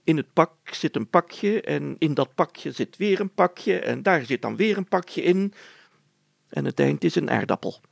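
A man's voice: a little high, expressive, a little slow, vocal fry, no room sound, voice pushed up, full character voice. A man voicing a pompous, grumpy, whiny and stubborn mayor in a children's story, blustering and complaining as he gives orders. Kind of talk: children's storybook character acting.

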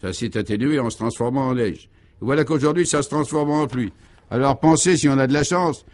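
A man's voice: medium pitch, brisk, darkish tone, natural voice. A man voicing a ragged, absurdly optimistic townsman in a comic radio serial, polite, emphatic and delighted.

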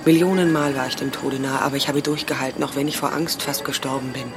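A woman's voice: extremely low pitch, fairly even intonation, fast talker. An actress in a German radio play voicing a middle-aged survivor mother who pleads with her estranged daughter. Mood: grave, resolute. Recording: music behind the voice. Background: music.

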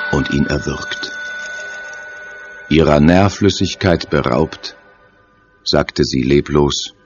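A man narrating a lurid horror radio play gravely and dramatically from a script. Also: very deep voice. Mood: somber. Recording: dull muffled audio over music.

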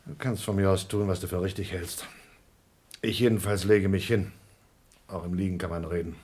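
Ein gelassener, resignierter Astronaut in einem Hörspiel. Die Stimme liegt etwas tief und klingt heiser. Er redet trocken und sachlich. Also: male voice, deep voice, normal speed, husky. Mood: resigned. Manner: calm, resigned, dry. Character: calm, resigned male astronaut. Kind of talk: 1970s radio play acting.

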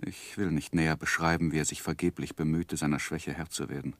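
Low-voiced, earnest gentleman narrator. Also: flat delivery, dry recording. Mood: pained narration. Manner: grave, measured, literary. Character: earnest gentleman narrating his own life story. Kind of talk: 1960s radio drama narration.

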